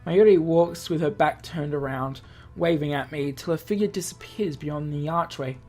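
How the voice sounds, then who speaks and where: Woman, lowish voice, story narration, let's-play reading, music underneath. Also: normal speed, dark tone. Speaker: let's-play host reading a visual novel aloud. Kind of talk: expressive read-aloud narration.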